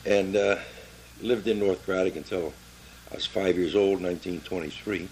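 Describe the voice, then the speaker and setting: An elderly American man in his seventies or older reminiscing informally about his life, halting and plain-spoken as he searches his memory. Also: low-pitched, steady tone, a little mellow, a little hiss or hum, rough recording. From Pittsburgh area, Pennsylvania, United States. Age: 70s or older. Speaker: family member recording his life story for a relative.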